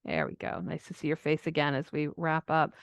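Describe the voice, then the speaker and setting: A woman's voice: deep voice, fairly even intonation, brisk, clear, resonant tone, no echo, clean studio sound. A woman co-hosting a podcast, explaining things in a casual, conversational way. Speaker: astrology podcast co-host.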